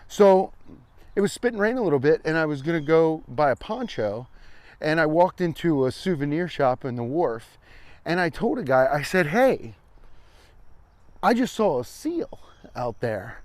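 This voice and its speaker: A man's voice: fairly high pitch, animated, moderate pace, a little mellow, no room sound. An American man chatting casually as he walks, riffing playfully in a loose, repetitive, sweary comedic ramble. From Cincinnati, US. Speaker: vlogger and podcast host. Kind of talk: casual rambling comedic chat while walking.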